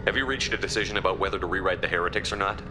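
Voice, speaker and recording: An actor playing a steady, pragmatic military commander in a science fiction video game, direct and level-headed. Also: male voice, sits low, very fast, music in the background, natural voice. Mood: level-headed, firm. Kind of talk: video game character acting.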